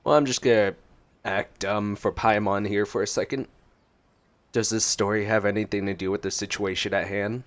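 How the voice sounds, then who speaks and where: A man's voice: lowish voice, fairly even intonation, moderate pace, a little hiss or hum. A man doing casual gameplay reaction commentary as a streamer, playful and joking as he reads and responds to dialogue. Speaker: gaming streamer. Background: game music.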